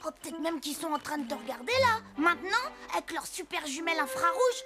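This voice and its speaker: A woman voicing a tiny, nosy young male elf in a French cartoon dub, chattering in an excitable, childlike way. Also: very high-pitched, brisk. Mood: excited, conspiratorial. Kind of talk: French animated series dubbing. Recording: music behind the voice.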